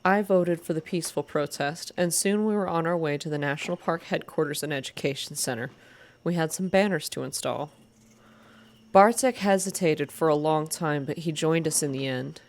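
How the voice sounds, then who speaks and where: Low-pitched woman reading a story, ASMR style. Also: normal speed. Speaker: reader of a visual novel game. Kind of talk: soft ASMR reading, scripted story narration with voiced dialogue.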